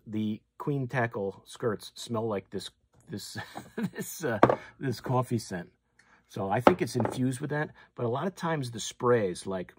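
A man's voice: lowish voice, heavy background noise. A man, hosting a fishing channel and chatting casually through a hands-on demonstration, full of fillers and restarts. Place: tackle shop or room with walls of packaged lures, indoors.

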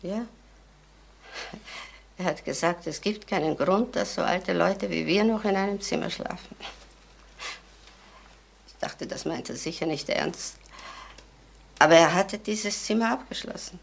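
An actress playing an older, estranged wife in a crime drama, polite, halting, wistful and resigned. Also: female voice, on the low side, gravelly. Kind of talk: German crime drama acting.

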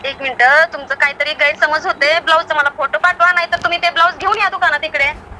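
A woman with a very high, bright voice talks insistently on the phone, with a western Maharashtrian accent. Faint traffic noise sits behind her argumentative speech. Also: steady tone, fast talker. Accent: western Maharashtrian Marathi. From Karad area, Maharashtra, India. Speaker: tailor. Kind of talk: phone conversation.

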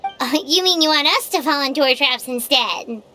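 A woman voicing a tiny, nosy, excitable childlike fairy companion in a video game, exclaiming as she talks. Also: sits high, normal speed, a little hiss or hum. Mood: questioning, wary. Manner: refers to self in third person, exclamatory.

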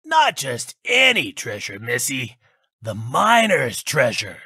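A man's voice: mid-range voice, huge pitch swings, takes it slowly, vocal fry, pushed and bright, husky, dry recording, studio quality, full character voice. An actor playing a chatty, teasing old male store attendant in a visual novel, with folksy colloquial speech. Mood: teasing, storytelling.

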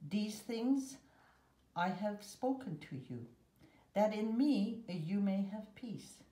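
A woman in her seventies reading a children's picture book and scripture aloud, carefully and in measured short phrases. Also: medium pitch, lively intonation, dark tone. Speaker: guest story reader. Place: room at home. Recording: roomy echo.

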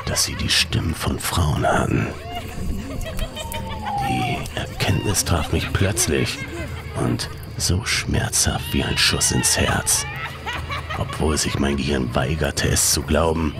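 A man reading a scripted horror story as a dramatic narrator, in a hushed, breathy, ominous delivery. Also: a bass voice. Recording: music behind the voice. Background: music.

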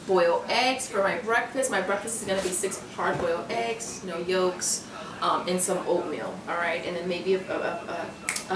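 A young woman vlogging casually about meal prep in her home kitchen, chatting with lots of fillers and restarts. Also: on the low side. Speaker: fitness vlogger. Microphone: camera on counter a few feet away. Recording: roomy, distant, noisy camera audio.

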